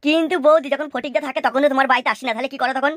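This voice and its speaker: A woman's voice: sits high, steady tone, racing, noisy background, natural voice. A woman voicing an eager, devoted young male admirer in a cartoon comedy, coaxing and pleading. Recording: clear music under the voice. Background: music.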